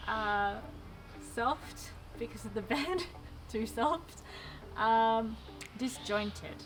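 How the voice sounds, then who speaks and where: A woman in her twenties giving lively, playful interview answers and laughing often. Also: fairly high pitch, extremely slow, recorded from a distance. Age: 20s.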